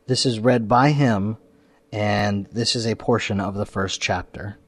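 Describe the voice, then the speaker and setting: A man's voice: lowish voice, steady tone, a little slow, no room sound. A man hosting a podcast, giving spoken introductions and announcements. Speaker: podcast host.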